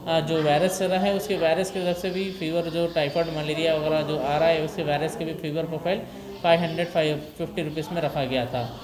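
Echoey small room, man, middle-aged, halting informational delivery, English terms mixed in. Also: fairly high pitch, fairly even intonation, a crowd in the background. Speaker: clinic doctor. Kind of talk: informational statement to camera. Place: small indoor consulting room.

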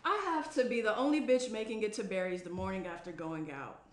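A woman's voice: medium pitch, a little fast, close to an everyday voice. A young woman playing a brash, bubbly party-girl best friend in a table read. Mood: brash, playful. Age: young adult. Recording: roomy indoor echo.

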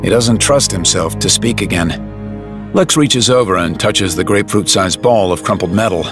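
A man's voice: lowish voice, normal speed, music in the background. A man delivering scripted dramatic narration for an audio drama.

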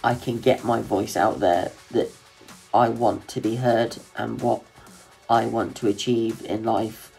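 A man's voice: lowish voice, steady tone, measured pace, creaky, darkish tone, soft background music, rough recording. A man in his twenties from England, talking plainly and directly on a video call through earbuds, indoors. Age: 20s. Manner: plain, direct talk with fillers. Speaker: interviewee. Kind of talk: casual talk on a video call. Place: indoor room.

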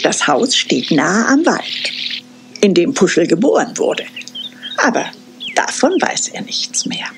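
A woman narrating a cartoon story in a calm, gentle storytelling manner. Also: on the low side, a little slow, noisy background.

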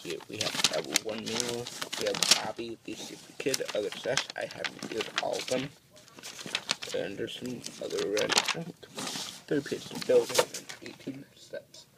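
A man's voice: lowish voice, moderate pace, gravelly. A man giving a casual, unscripted toy review, recorded at home on a handheld camera. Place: indoors at home. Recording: very noisy amateur home recording with clicks.

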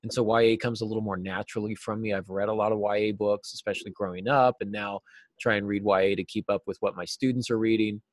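Middle aged american guy chatting in an interview, lowish voice, close dry sound. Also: steady tone, quick pace, no echo. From United States. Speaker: interview guest, novelist and teacher. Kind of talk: casual interview talk. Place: small cluttered office, indoors. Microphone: video call.

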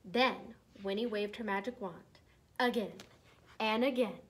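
A young woman reads a children's picture book aloud in an expressive, deliberate way for young listeners. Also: mid-range voice, husky. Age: young adult. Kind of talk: storybook read-aloud. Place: small decorated room indoors.

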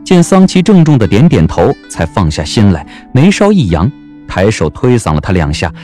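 A man narrating a historical romance audio drama as an expressive storyteller. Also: on the low side, normal speed, soft background music.

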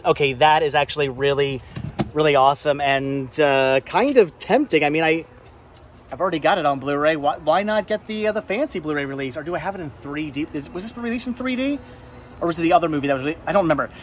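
A man in his thirties hosting a casual vlog, chatting enthusiastically and rambling with halting, repeated words. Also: a little high, slight background noise. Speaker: vlog host. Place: large indoor flea market and warehouse loading area. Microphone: handheld camera at arm's length.

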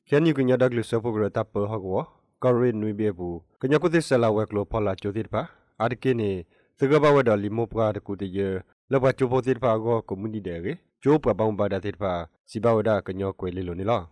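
A man with a fairly low voice reads scripted radio news. The sound is dry and close, free of room echo. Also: brisk, darkish tone. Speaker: news reader.

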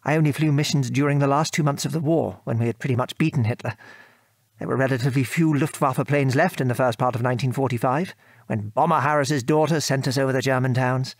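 An actor with a British accent playing a gentle middle-aged father, a former RAF man, reminiscing in an old-fashioned genteel manner in a radio play. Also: medium pitch, steady tone, fast talker, no echo, close to an everyday voice. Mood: amused, explaining. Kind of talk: radio drama dialogue, reminiscing.

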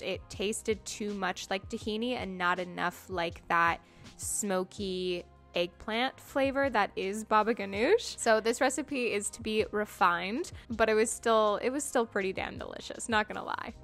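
Young woman, mid-pitched, relaxed chatty cooking narration with a light self-mocking streak, faint music underneath. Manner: chatty, self-deprecating, upbeat. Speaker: cooking vlogger. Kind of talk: casual voice-over narration. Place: home kitchen.

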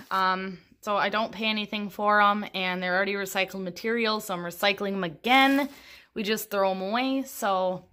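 A woman's voice: medium pitch, fairly bright tone. A woman, giving a casual, chatty room tour with wry, self-deprecating humor. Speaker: homestead and houseplant vlogger. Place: small upstairs room in an old house, indoors.

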